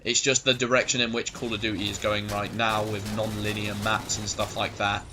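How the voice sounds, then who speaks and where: A man's voice: fairly low pitch, little pitch movement, normal speed, background noise. Rambling, sweary gaming guy. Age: 20s. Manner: rambling, swears a lot, goes off on tangents. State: voice going a bit hoarse. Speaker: gaming commentator. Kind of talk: rambling casual gameplay commentary.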